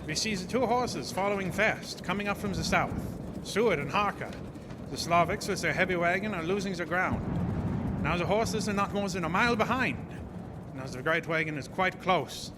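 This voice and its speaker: A grave old vampire hunter speaks in halting, commanding phrases. His voice sits fairly high, ringing through long reverb over clear noise, in the manner of a live radio horror play. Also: expressive. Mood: tense, awed. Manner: solemn, halting foreign phrasing. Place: small theater stage. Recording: noisy live stage broadcast, long hall reverb.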